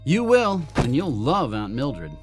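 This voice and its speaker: An actor playing an affable, slightly uneasy young suitor in a radio drama, by turns bantering and earnest. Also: male voice, mid-range voice, wildly swooping pitch, measured pace, over music. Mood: affectionate. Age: young adult. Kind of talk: radio drama acting, naturalistic dialogue.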